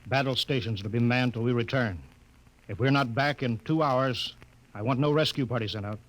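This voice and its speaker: An actor playing a stern naval spaceship captain in a 1950s radio drama, clipped and authoritative. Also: male voice, medium pitch, moderate pace, lightly acted. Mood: tense, commanding. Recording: noisy 1950s radio recording.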